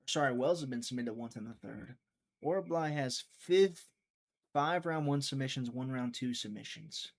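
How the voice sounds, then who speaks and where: A man hosting a live prediction stream, chatting casually, thinking out loud and talking to his viewers, sometimes trailing off into a mutter. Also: medium pitch, normal speed. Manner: chatty, thinks aloud, talks to chat.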